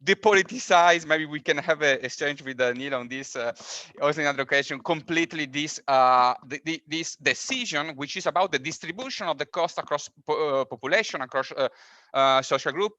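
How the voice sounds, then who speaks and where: A man whose first language is not English, speaking on a webinar panel with halting, repetitive phrasing. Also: on the high side, pushed and bright, dry recording, muffled recording. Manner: halting, repeats words, non-native phrasing. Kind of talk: online panel discussion. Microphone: video call.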